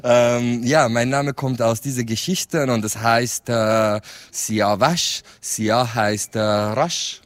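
A Kurdish-born man talks through his answers slowly, pausing on fillers and restarting his sentences. His voice sits at an ordinary middle pitch, and he often laughs between thoughts. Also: steady tone, no room sound. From Rojhelat, Kurdish region of Iran. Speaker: artist guest. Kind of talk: conversational interview answers.

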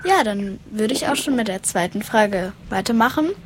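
A woman interviewer asking questions in a halting way, often searching for words. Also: medium pitch, expressive, a little hiss or hum. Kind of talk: casual interview.

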